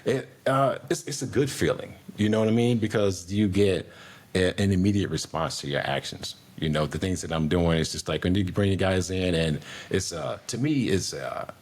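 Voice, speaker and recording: A man's voice: low-pitched, normal speed. A middle-aged man, a podcast guest, talking in a laid-back, rambling way with many restarts and fillers. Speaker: podcast guest, air traffic controller. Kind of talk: casual conversational interview.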